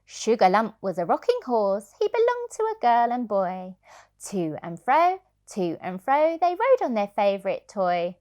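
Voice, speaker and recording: A woman reading a children's storybook aloud as a childminder, in an expressive, sing-song way. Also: a little high, unhurried.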